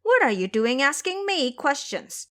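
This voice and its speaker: A woman voicing a brash, fiery monkey warrior in an audio drama, with a taunting, forceful manner. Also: sits high, very animated, unhurried, voice pushed up, full character voice. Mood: furious, defiant.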